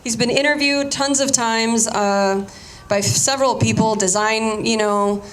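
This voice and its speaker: A woman's voice: on the high side, steady tone, measured pace. A Cuban-American woman whose first language was Spanish, giving a casual artist talk and often restarting her sentences. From United States, Cuban-American family.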